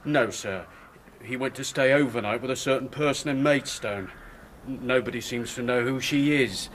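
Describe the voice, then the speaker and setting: An actor playing a deferential, plodding English country constable in a radio drama, dutiful and a little hesitant. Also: male voice, mid-range voice, moderate pace, a bit bright, a little hiss or hum, close to an everyday voice.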